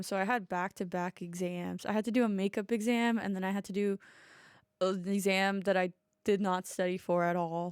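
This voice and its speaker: A woman's voice: mid-range voice, clear, resonant tone, dry recording, clean studio sound. A woman in her thirties hosting a casual podcast, talking in a chatty, rambling way with lots of "like" and easy giggles. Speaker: podcast host.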